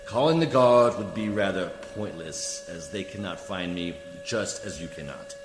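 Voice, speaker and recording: A man's voice: deep voice, animated, moderate pace, noisy background. A man acting a coolly formal, condescending alien judicial official in a science fiction radio play, speaking with precise diction. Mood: calmly insistent. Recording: echoey hall reverb, music behind.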